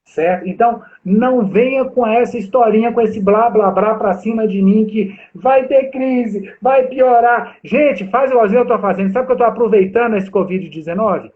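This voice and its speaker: A man's voice: sits high, moderate pace, hardly any pauses. A man in his forties from Brazil, a guest instructor talking animatedly and straight to viewers on a video call. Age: 40s. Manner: animated, addresses viewers directly. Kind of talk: live chat with audience. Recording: tinny phone-like line.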